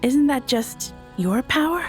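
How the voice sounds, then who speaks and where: A woman's voice: high voice, expressive, unhurried. A woman playing a stern, skeptical moon goddess in a fantasy game, formal and matter-of-fact. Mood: cool, skeptical. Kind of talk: fantasy game cutscene acting. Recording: studio voice over faint game music.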